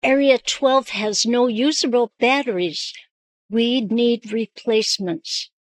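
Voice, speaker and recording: A woman's voice: fairly high pitch, slow pace, no echo. A woman speaking as a radio communicator in a scripted training exchange.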